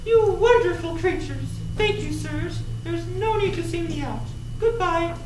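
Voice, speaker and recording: A woman's voice: extremely high pitch, a little slow. A woman playing a melodramatic, theatrical lady in distress in a stage comedy. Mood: gracious. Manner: theatrical, tearful pleading, grandly dramatic. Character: melodramatic pleading lady, actually a disguised male villain. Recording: roomy, noisy stage performance recording.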